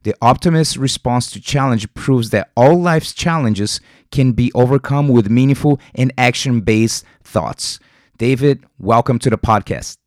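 A man's voice: mid-range voice, measured pace, a little mellow, dry recording, clean studio sound. A man hosting a podcast, introducing his guest and asking friendly, short questions. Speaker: podcast host.

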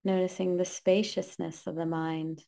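A woman leading a guided meditation, giving calm, gentle instructions in short, spaced phrases. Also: fairly low pitch, normal speed, gravelly.

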